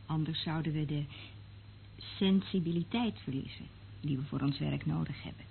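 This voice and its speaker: A woman's voice: deep voice, moderate pace, vocal fry, a little hiss or hum. Dreamy female listener, thin tinny sound. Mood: wistful. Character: dreamy, sensitive experienced female surveillance listener. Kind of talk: radio play acting. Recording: tinny band-limited old radio recording.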